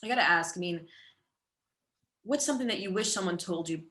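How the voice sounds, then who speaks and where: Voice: mid-range voice, brisk, bright, forceful tone. Curious, encouraging podcast host. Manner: curious, encouraging, many back-channel murmurs. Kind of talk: casual interview chat.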